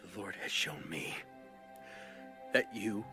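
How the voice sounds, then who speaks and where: An actor playing a grave, sorrowful prophet in a dramatized scripture audiobook. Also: male voice, fairly low pitch, slow pace, pushed and bright, soft background music. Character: grave, sorrowful male prophet of ancient Israel.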